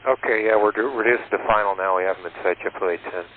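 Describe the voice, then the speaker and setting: Through a thin radio channel with faint hiss, a man speaks at a fast clip in a mid-pitched voice. He is an airline pilot making short, procedural calls and readbacks. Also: phone-quality sound. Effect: radio transmission.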